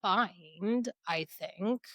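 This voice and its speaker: Female co-host, American, bright crisp tone, relaxed conversational delivery, close dry recording. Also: medium pitch, extremely slow, dry recording. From New Jersey, United States. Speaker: podcast co-host. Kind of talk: casual chat.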